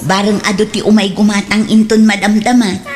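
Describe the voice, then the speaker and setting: A woman's voice: medium pitch, flat delivery, a little fast, darkish tone, close to an everyday voice. A woman playing an earnest, persevering younger sister who sells at the market, in a radio drama. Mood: earnest, hopeful.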